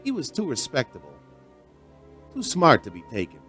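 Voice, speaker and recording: A folksy bartender in a radio drama. His delivery is lively and swings widely in pitch, chatty and conversational like someone spinning a yarn. Music plays under his voice. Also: moderate pace. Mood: reflective storytelling. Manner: conversational, storytelling. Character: folksy, chatty male bartender telling his strange tale. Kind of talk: audio drama narration and dialogue.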